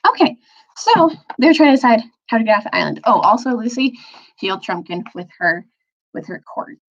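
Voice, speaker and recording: A woman leading a storytime, talking informally and haltingly with casual asides. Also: on the high side, big pitch swings, lo-fi sound. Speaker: storytime reader, teacher. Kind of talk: reading a children's novel aloud, casual recap.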